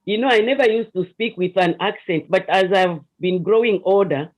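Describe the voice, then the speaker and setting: A woman with a Zambian accent and a deep voice, earnestly explaining her counseling work as a guest in an online interview, with hesitant restarts. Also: normal speed. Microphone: video call. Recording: lo-fi video call audio.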